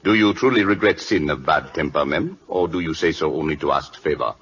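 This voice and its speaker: Very deep imperious Siamese minister. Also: male voice, fairly quick, a bit bright. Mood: stern, probing. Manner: terse broken English, commanding. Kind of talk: 1940s radio acting. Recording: muffled old radio recording.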